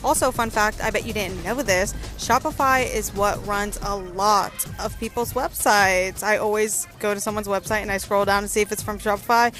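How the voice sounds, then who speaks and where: A woman's voice: mid-range voice, fairly even intonation, moderate pace, few pauses, fairly bright tone. A woman podcast host delivering a sponsor message in a casual, conversational style. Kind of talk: casual ad read. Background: background music.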